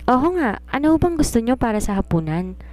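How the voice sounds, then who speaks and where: A woman's voice: a little high, sing-song, fairly quick, a little mellow, pitched above the performer's own voice. A woman with a Filipino accent reading a polite, eager young woman posing as a housemaid in a storybook. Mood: polite, attentive. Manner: deferential, courteous.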